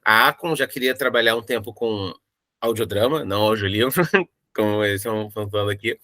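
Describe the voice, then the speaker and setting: A man's voice: on the low side, brisk, bright, forceful tone, no echo. A man, explaining a creative project casually in an interview, rambling and repeating himself.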